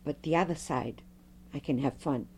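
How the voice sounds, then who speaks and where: A middle-aged woman, a Fado singer, telling stories with a strong, loud voice in an interview. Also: deep voice, moderate pace, slight background noise. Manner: animated storyteller, strong loud voice. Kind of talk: oral history interview.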